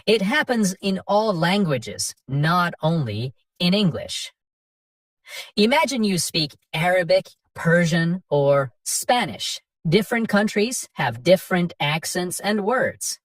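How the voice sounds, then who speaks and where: A woman narrates an English lesson for beginners in short, simple sentences, taking her time with each one. Her voice sits a little low but moves up and down with lively emphasis. She sounds set back from the mic in a dry space with no echo. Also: slow pace, distant mic. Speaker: English teacher. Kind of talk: slow scripted lesson narration for learners.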